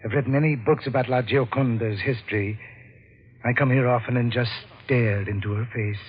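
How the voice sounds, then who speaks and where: A man's voice: mid-range voice, fairly even intonation, normal speed, natural voice. Man acting an odd, reverent butler on muffled radio. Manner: deferential, reverent. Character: odd, devoted butler, quietly obsessed with a painting. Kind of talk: old-time radio drama acting. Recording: dull, faintly noisy old radio recording.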